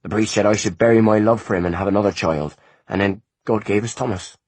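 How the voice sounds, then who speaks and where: A sorrowful peasant mother, as a narrator puts her on, lifted high above his low speaking voice. She pleads softly, worn down by grief, with a husky edge. The storybook reading is dry and close. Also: steady tone, quick pace, no echo, natural voice. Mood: grieving. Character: weary grieving peasant mother, humble.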